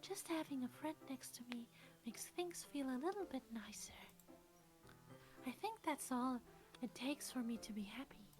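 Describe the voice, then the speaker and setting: A woman playing a shy, gentle teenage girl in a visual novel, speaking softly and breathily, close to a whisper. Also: sits high, lively intonation, moderate pace, soft background music. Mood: tender, wistful. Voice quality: soft, breathy, near whisper. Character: shy, gentle teenage girl who loves quiet company.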